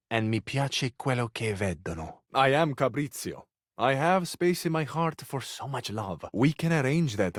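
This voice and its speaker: A man's voice: on the low side, no echo, studio quality. A man playing a gallant, lovestruck Italian romantic in a video game, speaking with an Italian accent in a flowery, poetic, courtly manner. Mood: proud, ardent.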